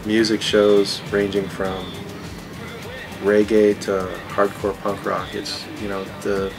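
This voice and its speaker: A man from community radio talking in a documentary interview. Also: on the low side. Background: music.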